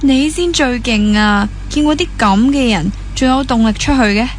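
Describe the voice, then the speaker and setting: A woman's voice: on the high side, normal speed, lightly acted. A young woman with a Hong Kong Cantonese accent playing a frank, bantering dancer in a naturalistic radio drama. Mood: teasing. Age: young adult.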